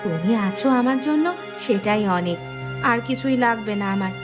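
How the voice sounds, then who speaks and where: A woman voicing a devoted, selfless village wife, gentle and reassuring, in an animated story. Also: medium pitch, moderate pace, close to an everyday voice. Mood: caring, reassuring. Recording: clear music behind the voice.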